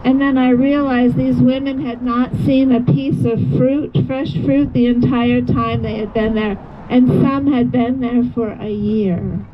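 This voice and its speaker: A woman with a fairly high voice delivers a testimony at an outdoor rally. She is measured and solemn, on a slightly muffled recording with faint traffic noise. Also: steady tone. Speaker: rally speaker giving a testimony. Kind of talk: first-person account read aloud. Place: outdoor rally near a road. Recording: dull lo-fi audio, faint vehicle noise.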